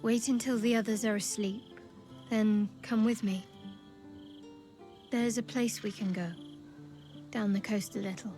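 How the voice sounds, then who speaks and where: A woman playing a guarded, wry young cleric companion in a fantasy video game, measured and intimate. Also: medium pitch, steady tone, mellow, faint music behind, close to an everyday voice. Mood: soft, resolved. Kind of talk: video game dialogue acting.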